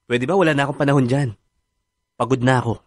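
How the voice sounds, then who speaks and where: An actor with a Filipino accent playing a defensive, easygoing husband in a radio drama. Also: male voice, medium pitch, fairly even intonation, normal speed, a bit bright, dry recording, close to an everyday voice. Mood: irritated, evasive. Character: defensive, easygoing husband, former ship worker. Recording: dry studio with music underneath.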